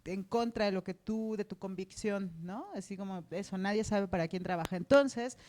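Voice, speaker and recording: A woman's voice: medium pitch, normal speed, darkish tone. A woman journalist with a Mexican accent answering informally on a panel, colloquial and full of asides.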